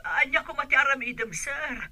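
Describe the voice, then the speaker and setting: Woman acting a sharp, nagging household helper. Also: sits high, a little fast. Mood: worried. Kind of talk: radio comedy drama acting.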